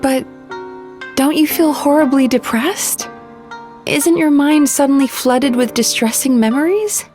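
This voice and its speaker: Sweet polite yokai healer lady from a game, highish voice, earnest n apologetic, some music behind. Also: unhurried, darkish tone. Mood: remorseful, earnest. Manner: soothing, courteous, earnest. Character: gentle female dream-eating yokai therapist, polite and apologetic. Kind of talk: scripted video game voice acting. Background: background music.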